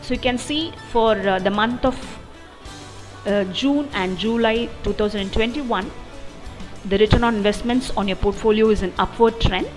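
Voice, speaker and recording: High-pitched woman presenting a tutorial. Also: moderate pace. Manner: methodical, step-by-step explaining. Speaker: software demo presenter. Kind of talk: explanatory tutorial narration. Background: background music.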